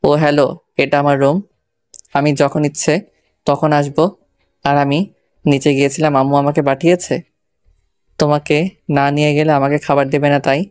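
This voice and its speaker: Man acting an even-toned, irritable young husband. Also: a little high, normal speed, pauses often, smooth, dry recording, clean studio sound, natural voice. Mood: irritated. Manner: curt, scolding.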